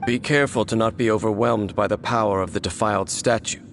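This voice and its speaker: An actor playing a serious, resolute young swordsman in a video game, speaking in calm, measured tones. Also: on the low side, a little hiss or hum, natural voice. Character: grave young male swordsman, steady and resolute. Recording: game audio with clear music behind.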